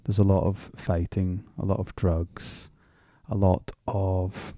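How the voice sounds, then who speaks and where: Glaswegian guy, really deep dark voice, laid-back and wry, dry close mic. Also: level tone, takes it slowly, no room sound. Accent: Glaswegian Scottish. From Glasgow, Scotland. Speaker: fitness coach, podcast guest. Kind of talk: casual storytelling interview.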